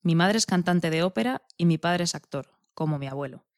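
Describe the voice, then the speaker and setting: Lowish, dry-recorded Spanish woman. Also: expressive, a little fast, a little mellow, no room sound. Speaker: co-presenter. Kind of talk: scripted reading.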